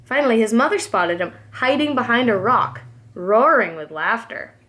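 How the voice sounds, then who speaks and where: A woman's voice: fairly high pitch, moderate pace. A woman preschool teacher reading a storybook aloud expressively for young children. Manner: expressive, addresses young listeners.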